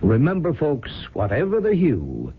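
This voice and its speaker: A showy commercial pitchman, gushing and husky. He draws his phrases out slowly with huge swoops of pitch, through a tinny, phone-like broadcast sound. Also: wildly swooping pitch, exaggerated voice. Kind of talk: hard-sell advertising announcer in radio drama. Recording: phone-like, very narrow radio sound.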